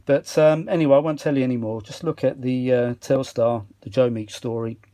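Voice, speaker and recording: A man with a mid-pitched voice talks casually as the presenter of his own hobby video. He is chatty and self-deprecating, rambling along and often hunting for the right word. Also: steady tone, fairly quick, dark tone. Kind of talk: rambling casual demonstration vlog. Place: small cluttered kitchen used as a workshop.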